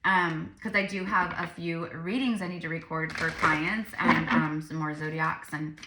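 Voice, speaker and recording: A woman's voice: sits low, fairly even intonation, unhurried, roomy sound. A tired woman with a slightly crackly voice, chatting informally and in a rambling way to viewers during a psychic tarot reading. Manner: informal, rambling, addressing viewers as "you guys". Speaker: psychic medium and tarot reader.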